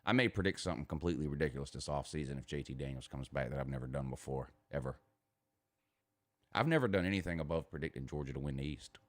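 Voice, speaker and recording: A man's voice: a bass voice, fast talker, no room sound. A man with a Southern US accent hosting a casual sports banter show, emphatic and boastful, repeating himself and swearing freely. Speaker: morning show host.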